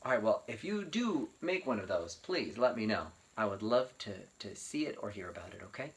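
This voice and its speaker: A man telling a children's picture-book story as a read-aloud, expressive and varied in his emphasis. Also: lowish voice, normal speed, breathy edge.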